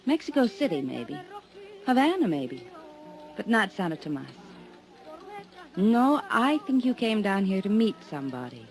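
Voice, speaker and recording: A woman's voice: mid-range voice, sing-song, unhurried, pauses often, low-quality recording. An actress playing a teasing, mysterious woman on vacation in a 1950s radio drama. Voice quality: coy, teasing. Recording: old radio recording with music.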